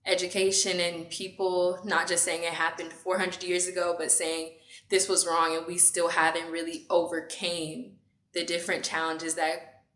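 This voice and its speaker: An eighteen-year-old woman with a lowish, flat voice speaks earnestly and steadily. Her words ring out in an echoey room. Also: moderate pace. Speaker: student. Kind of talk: interview answers.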